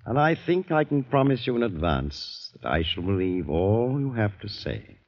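A man's voice: fairly low pitch, sing-song, moderate pace, vocal fry, full character voice. A man with a British accent playing a solicitous, probing English doctor and veteran in a genteel, coaxing way, in 1940s radio drama style. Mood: probing, persuasive. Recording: dull old radio drama recording.

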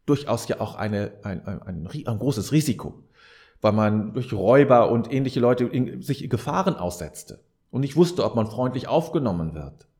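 A man's voice: medium pitch, animated, normal speed, a little mellow. A man giving a reflective advice monologue as a podcast host, speaking straight to the listener in an earnest, preacher-like way and repeating key phrases for emphasis.

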